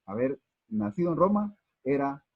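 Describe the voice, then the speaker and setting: A man with a husky voice teaches a Bible class, halting often and restarting his sentences. He speaks warmly to his listeners as brothers. The recording is dry and a little dull. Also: on the high side, lively intonation, dry recording. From Guatemala. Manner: hesitant, many fillers and restarts, addresses listeners as brothers. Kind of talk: unscripted Bible class lecture.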